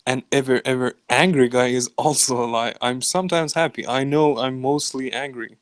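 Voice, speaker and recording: A man's voice: mid-range voice, steady tone, moderate pace, no room sound, studio quality. A man co-hosting a casual podcast, joking around in a mock-grumpy way and swearing casually. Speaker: podcast co-host.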